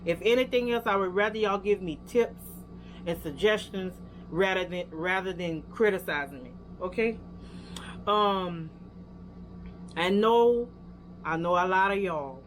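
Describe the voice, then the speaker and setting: A woman's voice: medium pitch, measured pace, slight background noise, rough recording. Chatty woman with a Louisiana accent. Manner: chatty, rambling, talks straight to viewers with lots of fillers. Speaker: hair care vlogger. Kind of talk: casual product review talk.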